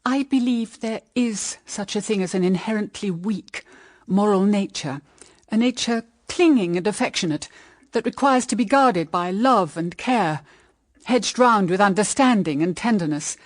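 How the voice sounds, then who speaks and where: Woman acting older mother in a period radio play, gentle n dignified, earnest, very lilting pitch. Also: lively intonation, natural voice. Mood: earnest pleading. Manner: measured, earnest, formal.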